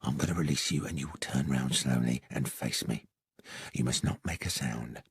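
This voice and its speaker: Guy doing a sneaky victorian cop for an audiobook, breathy near-whisper, bossy and wry, dry sound. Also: a bass voice, moderate pace, no room sound, pitched below the performer's own voice, exaggerated voice. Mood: hushed, commanding. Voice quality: hushed, often whispering. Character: brisk, furtive, wry policeman of Victorian London. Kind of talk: audiobook character acting.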